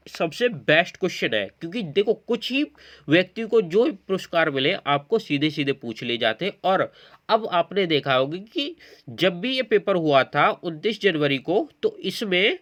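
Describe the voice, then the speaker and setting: A man's voice: on the high side, a bit bright. A man teaching an exam-prep lesson, explaining quiz questions and often checking in with learners. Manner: explanatory, repeatedly checking in with listeners.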